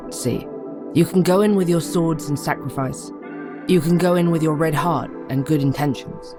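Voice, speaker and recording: A narrator reading literary fiction aloud in an audiobook style, addressing the listener directly. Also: mid-range voice. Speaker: narrator of a short story podcast.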